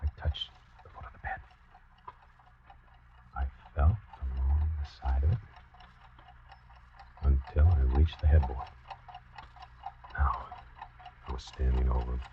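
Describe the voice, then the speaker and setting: An actor playing a desperate, haunted man in a 1940s radio suspense play, narrating his crime in a halting, brooding, dramatic way. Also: rumbling low, fairly even intonation. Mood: dazed, dread. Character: desperate, haunted man confessing to a planned killing. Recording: muffled, noisy old radio recording.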